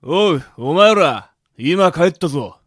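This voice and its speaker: A man playing a gruff, easygoing middle-aged gangster boss with a rough, drawling way of talking in an amateur radio drama. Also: fairly high pitch, expressive, takes it slowly, fairly bright tone, no echo, heavily acted. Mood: relaxed, hearty.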